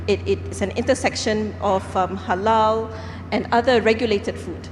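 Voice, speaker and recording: A woman from Singapore speaks earnestly on a conference panel, often pausing on fillers and restarting her sentences. Her voice rings through a large hall, with a long reverb tail and faint noise behind it. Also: medium pitch, steady tone. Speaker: panel speaker, company founder. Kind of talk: panel discussion.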